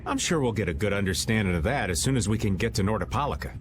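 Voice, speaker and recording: A man's voice: lowish voice, animated, rapid-fire, natural voice. An actor playing a playful, sly older man, a joking veteran adventurer in a video game. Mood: matter-of-fact. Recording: music under the voice.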